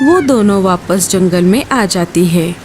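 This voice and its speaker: A woman's voice: on the low side, lively intonation, unhurried, background noise. A woman storyteller reading a scripted children's story.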